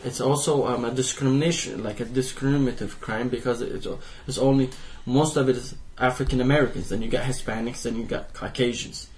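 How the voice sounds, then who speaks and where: An impassioned young man from Iraq, talking as a panelist. His pitch sits in the middle, and he keeps pressing his points and restarting his sentences. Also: steady tone, brisk, few pauses, slight background noise, low-quality recording. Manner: impassioned, restarting and repeating himself. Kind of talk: panel discussion.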